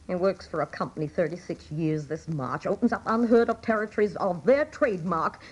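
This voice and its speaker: An actress playing a weary, fiercely loyal older wife and mother in a radio drama, pleading emphatically in a husky voice. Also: on the low side, brisk, low-quality recording. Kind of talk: dramatic radio play acting.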